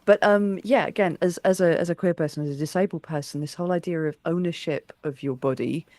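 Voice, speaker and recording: An interviewer talking in a casual, conversational way, with an animated, emphatic and rambling manner. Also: medium pitch, fast, dry recording.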